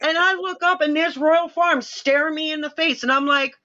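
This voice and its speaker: A woman from New York hosts a casual livestream and tells long, rambling stories straight to her viewers. Her voice is bright and forward. The audio is a little muffled and lo-fi. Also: high voice, fairly even intonation, normal speed. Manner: chatty, rambling storyteller who addresses viewers. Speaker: livestream host. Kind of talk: casual chat.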